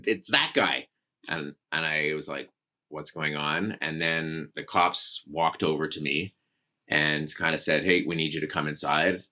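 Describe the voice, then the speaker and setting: A man's voice: extremely low pitch, sharp tone. A man casually telling a long, self-deprecating story as a guest on a chat show.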